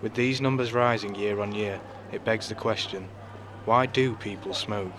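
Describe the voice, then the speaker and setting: A man giving measured, serious scripted documentary narration. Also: lowish voice, steady tone, background noise. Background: guitar music.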